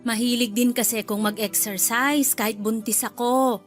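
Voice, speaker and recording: A woman's voice: a little high, steady tone, moderate pace, soft background music, natural voice. A woman playing a meddling middle-aged mother-in-law in a radio drama, chatty and sweetly critical. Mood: needling. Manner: chatty, fussing, needling under politeness.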